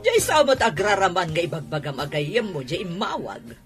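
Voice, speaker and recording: A woman's voice: fairly low pitch, sing-song, normal speed, over music. A woman with an Ilocano accent playing a disapproving, haughty middle-aged mother in a radio drama. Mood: disapproving, indignant.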